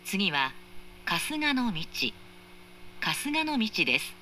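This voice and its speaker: A woman's voice: mid-range voice, big pitch swings, takes it slowly, sharp tone. A woman reading scripted train announcements in a polite, formal manner, as heard inside a carriage. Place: train carriage speaker, train ambience behind. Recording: faint train noise, recorded inside carriage.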